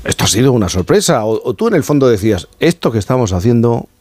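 A man's voice: mid-range voice, big pitch swings, fast, darkish tone, dry recording. A man interviewing a guest on the radio, asking prompting, curious questions.

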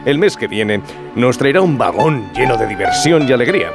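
A man's voice: medium pitch, big pitch swings, fairly quick. A man reading a mock-solemn scripted farewell as an announcer. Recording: loud music behind the voice.

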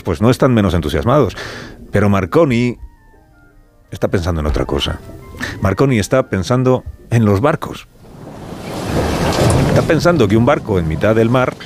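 Deep-voiced Spanish man narrating over music. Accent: Spanish, from Spain. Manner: dramatic pauses, deliberate repetition. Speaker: radio host and narrator. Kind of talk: scripted storytelling narration.

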